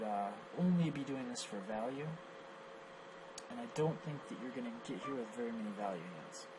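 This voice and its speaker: A man with a hoarse, worn-out voice talks through poker hands, judging his own play and his opponent's as he goes. His pitch sits in the middle range, and a clear hum of background noise runs under the recording. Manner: analytical, self-critical, thinks aloud with fillers. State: hoarse, lost voice from coughing. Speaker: poker coach reviewing his own match. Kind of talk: screen-recorded hand review commentary.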